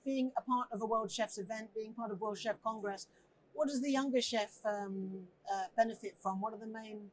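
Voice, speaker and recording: A woman conducts a conversational interview in a fairly high voice. She is effusive in how she talks, often doubling words and phrases to stress them. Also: a little fast, slight background noise, poor audio. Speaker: interviewer, host.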